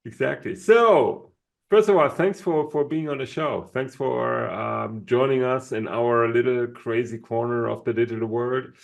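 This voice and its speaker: A man co-hosting a podcast in casual chat, often pausing to search for words. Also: medium pitch, steady tone, gravelly. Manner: searches for words, many fillers. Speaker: podcast co-host.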